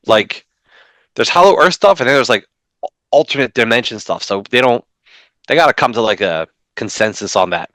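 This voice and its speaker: A man in his thirties co-hosting a comics podcast from home over a video call, talking in an animated way with many restarts. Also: medium pitch, no echo. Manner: animated, talks fast with frequent restarts. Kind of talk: casual chat.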